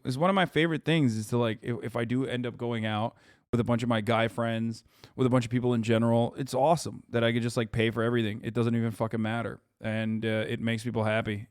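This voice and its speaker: A man's voice: mid-range voice, fairly even intonation, brisk, clear, resonant tone, no echo, studio quality. Blunt, profane streamer man. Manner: profane, blunt, joking with chat. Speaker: streamer reacting to videos. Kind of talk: casual livestream chat.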